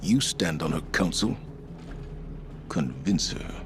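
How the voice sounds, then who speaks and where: An actor playing a stoic, authoritative older military commander in a video game, measured and resolute. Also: male voice, rumbling low, lively intonation, music in the background, full character voice. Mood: resolute, grave.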